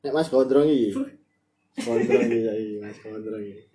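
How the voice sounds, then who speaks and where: East Javanese man, mellow-voiced podcast host. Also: medium pitch, normal speed. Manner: playful, repeats phrases, mixes Javanese slang. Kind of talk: casual joking chat.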